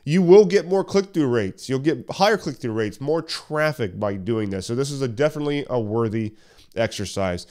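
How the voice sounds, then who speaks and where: A man hosting a solo podcast tutorial, talking conversationally and a little hoarse while getting over a cough, walking listeners through tips step by step. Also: mid-range voice, animated, normal speed, mellow. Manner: conversational, explains step by step with questions. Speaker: podcast host. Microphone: studio podcast mic.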